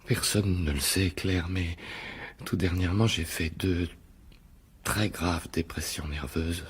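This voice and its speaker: Husky, brooding, obsessive lover. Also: male voice, sits low, fairly even intonation, takes it slowly, full character voice. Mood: brooding confession. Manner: brooding, hesitant, repeating himself. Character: unstable, lovesick male stage actor, obsessive and menacing. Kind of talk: French radio mystery drama acting.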